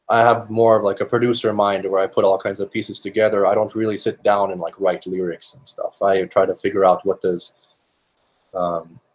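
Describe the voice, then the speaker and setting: A young man with a fairly low voice, raised speaking both Finnish and English, talks through his answers in an interview. He pauses often and restarts his sentences as he thinks aloud. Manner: thoughtful, with many fillers and restarts. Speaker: musician guest.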